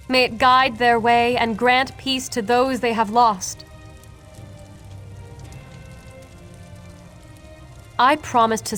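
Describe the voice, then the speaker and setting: A woman's voice: fairly high pitch, fairly bright tone, close to an everyday voice. An actress voicing an earnest, compassionate noblewoman and healer who leads with a sense of duty in a video game. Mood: solemn, kind. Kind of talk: video game character dialogue.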